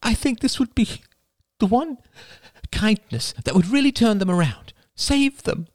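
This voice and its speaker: A man's voice: high-pitched, animated, measured pace, dry recording, studio quality, voice pushed up. A man voicing an anxious middle-aged mother who pleads emotionally in an audiobook. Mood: pleading. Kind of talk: audiobook character voice.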